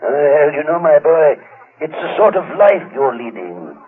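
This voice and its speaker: Controlling older uncle, patronizing and insistent, animated pitch, radio drama, dull lo-fi sound. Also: male voice, unhurried. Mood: falsely solicitous. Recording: muffled lo-fi radio recording.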